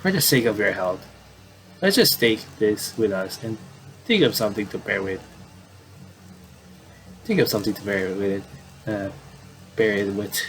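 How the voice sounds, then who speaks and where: A man doing casual game commentary, reading on-screen dialogue aloud and adding halting asides. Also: fairly low pitch, slight background noise, low-quality recording. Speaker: gamer doing playthrough commentary.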